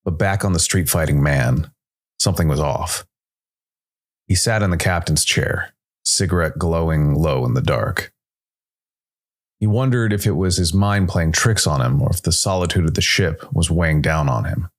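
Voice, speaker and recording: Man, low-pitched voice, dramatic sci-fi storytelling, scripted narration. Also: lively intonation, normal speed.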